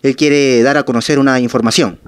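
Peruvian guy doing a local news interview, normal pitch, dry mic sound, no echo. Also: fairly even intonation, brisk, smooth. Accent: Peruvian Spanish. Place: small office, indoors. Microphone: handheld microphone.

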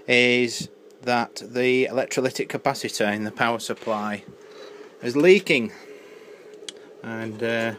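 Low-voiced man explaining, noisy workshop recording. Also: fairly bright tone. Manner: matter-of-fact, explanatory. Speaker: electronics repair technician presenting. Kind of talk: unscripted technical demonstration narration. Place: indoor electronics workbench. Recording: noisy handheld camera audio in workshop. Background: clear background noise.

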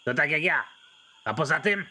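Guy doing a bored sarcastic cartoon executioner, highish male voice, dry delivery, noisy background. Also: normal speed, close to an everyday voice. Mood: offhand, dry. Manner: deadpan, offhand. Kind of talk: amateur animated comedy acting.

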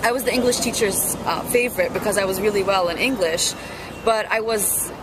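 A woman's voice: mid-range voice, brisk. A woman of twenty-four from Lebanon tells her life story in an interview, earnest and reflective, with frequent ums and restarts. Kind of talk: personal life story to camera. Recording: loud street traffic noise.

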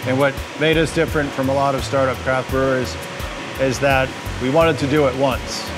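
A man's voice: medium pitch, flat delivery, moderate pace, poor audio. A middle-aged man, a brewery founder, explaining matter-of-factly in an interview. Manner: matter-of-fact, explaining plans. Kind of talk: interview answers. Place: large brewery taproom indoors. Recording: music under the voice.

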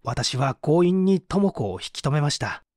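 Man acting a despairing, expressive painter, higher-pitched. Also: normal speed, dry recording, clean studio sound. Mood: aggrieved. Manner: confessional, expressive, formal narrative delivery. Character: despairing, bitter male Japanese painter giving testimony. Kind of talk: dramatic audiobook reading.

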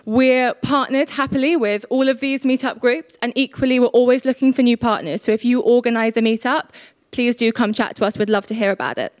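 A young woman presenting a prepared conference talk on stage, steady and polished. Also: on the high side, brisk, no echo, studio quality. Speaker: conference speaker.

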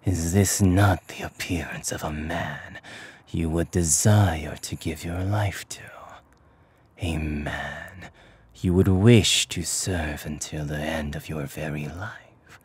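A man voicing an arrogant, seductive, menacing demon lord in a slow, breathy ASMR whisper. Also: a bass voice, darkish tone, no echo, exaggerated voice. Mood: proud, seductive. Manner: slow, deliberate, haughty.

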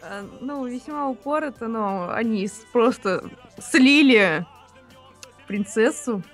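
A woman's voice: a little high, expressive, slow, faint music behind. A young woman co-hosting a let's-play, chatting in an offhand way. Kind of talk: casual chat.

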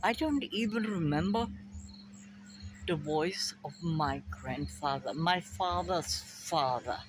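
An older woman with a German accent, musing slowly to a phone camera in her backyard about memory and family. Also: deep voice, lively intonation, noisy background. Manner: slow, musing, drifting between thoughts. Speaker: vlogger. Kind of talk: rambling personal monologue to camera. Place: backyard outdoors by a fence. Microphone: phone camera at arm's length. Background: crickets and insects.

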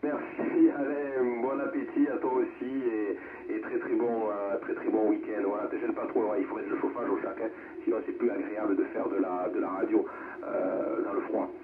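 Un homme qui anime un réseau radioamateur de façon décontractée et courtoise, en cherchant parfois ses mots. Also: male voice, on the low side, little pitch movement, moderate pace. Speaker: amateur radio net controller. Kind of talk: casual amateur radio net hosting. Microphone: heard through a radio receiver speaker. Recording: narrowband radio with noise and reverberation.

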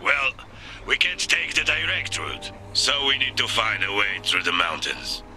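An actor playing a fierce, defiant middle-aged rebel commander in a video game, gruff, blunt and commanding. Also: male voice, fairly low pitch, very animated, measured pace, bright, forceful tone, faint music behind, heavily acted. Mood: determined. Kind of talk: dramatic video game voice acting.

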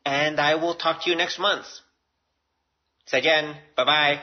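A man's voice: a little high, steady tone, measured pace. Man rambling casually on a lo-fi podcast. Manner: rambling, self-deprecating. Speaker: podcast host. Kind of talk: casual solo podcast talk. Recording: dull, lo-fi audio.

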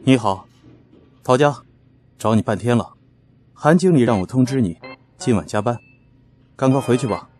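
Man acting a teasing young manager, lively intonation. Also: mid-range voice, normal speed, long pauses, soft background music, close to an everyday voice. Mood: sly, mock-serious. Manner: teasing, smooth-talking. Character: teasing, sly young male office manager. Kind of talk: audio drama dialogue.

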